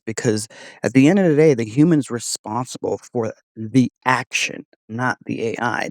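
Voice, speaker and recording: A man's voice: medium pitch, a little mellow, dry recording. A man hosting an AI demo in a casual, informal way, thinking aloud as he puts questions. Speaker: host testing an AI voice model. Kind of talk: casual spoken interview.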